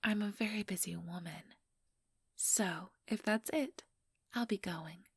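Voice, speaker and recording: A woman voicing an ambitious, teasing vampire in an ASMR roleplay, breathy and whispery, with a sugary, mocking condescension. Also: mid-range voice, a little slow, no room sound, studio quality. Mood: teasing, taunting. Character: ambitious, teasing female vampire, smugly scheming.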